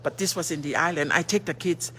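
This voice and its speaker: A woman's voice: sits low, fairly quick, a bit bright. Brief: middle-aged Tongan woman, native Tongan speaker, earnest livestream pep talk on a phone, faint hum behind. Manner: earnest, asks rhetorical questions and answers them; switches between languages mid-sentence. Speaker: livestream host. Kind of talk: motivational livestream chat.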